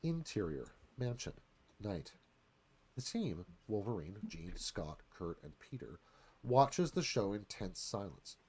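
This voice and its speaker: A man's voice: fairly low pitch, big pitch swings, slow pace, darkish tone, breathy edge. A man reading screenplay stage directions aloud as scripted narration.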